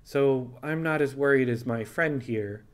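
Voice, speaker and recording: A man voicing a frank, thoughtful animal pirate captain with a level, folksy and deliberate way of talking. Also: mid-range voice, pitched above the performer's own voice, lightly acted.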